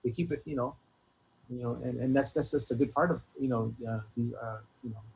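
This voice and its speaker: A man talking casually as an interview guest, halting and earnest, often repeating himself. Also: mid-range voice, vocal fry, darkish tone, rough recording. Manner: rambling, earnest, halting, many repeated phrases. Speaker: hip-hop artist being interviewed. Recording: noticeable background noise.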